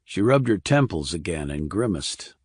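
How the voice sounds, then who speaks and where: A man's voice: low voice, sing-song, normal speed, husky, dry recording, studio quality. A man reading a crime thriller audiobook as scripted narration.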